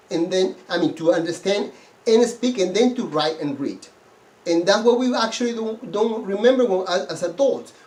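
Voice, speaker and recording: A middle-aged man from Peru with a Peruvian Spanish accent and a fairly high-pitched voice. He pitches his teaching earnestly and with enthusiasm, often stumbling into restarts and repeated words as he talks. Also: roomy sound. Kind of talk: self-introduction video pitch.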